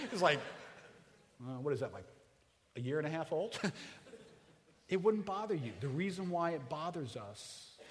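A man in his forties preaching a sermon, conversational and joking with the congregation, swinging between hushed and loud passages. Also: on the high side. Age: 40s. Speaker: pastor. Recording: reverberant hall.

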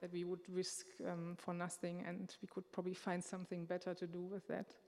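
A woman giving unscripted church announcements in a church sanctuary, halting and pausing often as she speaks into a microphone. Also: fairly low pitch, monotone, a little mellow. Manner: halting, with many filler pauses. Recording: long reverb of a large hall.

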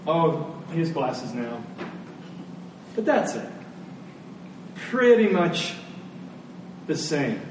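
A man's voice: fairly high pitch, very animated, extremely slow, pauses often. A middle-aged man preaching a sermon in a conversational way, putting rhetorical questions to his listeners. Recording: very echoey room, distant mic, faint noise.

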